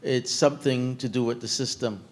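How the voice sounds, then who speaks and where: A man's voice: medium pitch, fairly even intonation, moderate pace. Man making a casual comment from the audience. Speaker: audience member.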